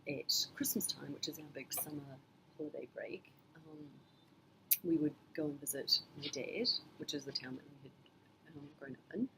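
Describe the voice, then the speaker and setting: A middle-aged woman from New Zealand, answering her child's interview questions in a thoughtful, measured way with frequent pauses and ums. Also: deep voice, a bit bright, slight background noise, low-quality recording. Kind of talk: casual family interview.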